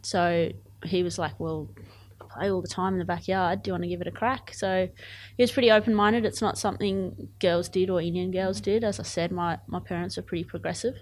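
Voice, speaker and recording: A woman's voice: on the low side, steady tone, brisk, a little mellow. A woman in her twenties with an Australian accent, chatting casually and enthusiastically as an athlete being interviewed. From born in Zimbabwe, moved to Australia aged eight. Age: 20s. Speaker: cricket player.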